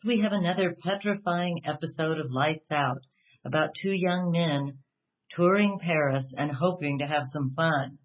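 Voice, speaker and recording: A man giving a scripted introduction as the announcer of a radio program. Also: on the high side. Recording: dull, lo-fi audio.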